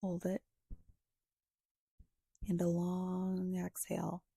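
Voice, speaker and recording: A woman leads a guided meditation in a soft, husky, breathy voice that often slips toward a whisper. She speaks very slowly and evenly, with long pauses between short, soothing phrases. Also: fairly low pitch, completely flat delivery, no room sound, studio quality.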